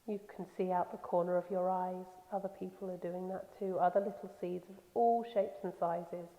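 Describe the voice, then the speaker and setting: A woman leading a guided meditation in a soothing, gentle, unhurried manner with pauses. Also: lowish voice, fairly even intonation. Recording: echoey long reverb, slightly dull sound.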